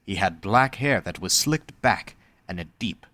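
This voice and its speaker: Guy narrating an audio drama, low voice, dry close sound. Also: animated, a little slow, sharp tone, dry recording. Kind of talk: scripted story narration.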